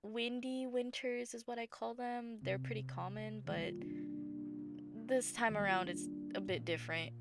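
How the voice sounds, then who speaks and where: A woman with a scratchy, gravelly voice, hoarse after bronchitis, giving casual voice-over commentary over gameplay. She rambles, packs her talk with fillers, and corrects herself. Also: a little high, steady tone, a little slow. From Southern United States. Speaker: game builder and channel host. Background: background music.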